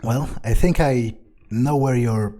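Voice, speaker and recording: A man's voice: medium pitch, slow, mellow. A man improvising in-character commentary for a game playthrough, with a hesitant, musing and sardonic way of talking. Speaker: gamer role-playing his character.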